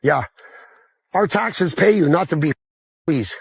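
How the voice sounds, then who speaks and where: A hoarse man, who has lost his voice to a cold, arguing loudly and talking over police officers. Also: fairly high pitch, animated, takes it slowly, no echo. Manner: loud, argumentative, talks over others. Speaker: asphalt worker confronted by police. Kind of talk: heated street argument.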